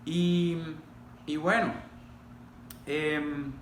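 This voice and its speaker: Echoey middle-aged Costa Rican man, higher-pitched voice. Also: fairly even intonation, drawn-out words. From Costa Rica. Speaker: pastor. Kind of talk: personal testimony told to a congregation. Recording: echoey room, faint background noise.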